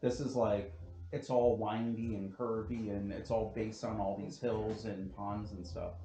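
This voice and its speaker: A man's voice: fairly low pitch, steady tone, measured pace, warm tone. A man chatting casually and explaining things in conversation. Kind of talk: casual chat. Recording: echoey room with faint noise.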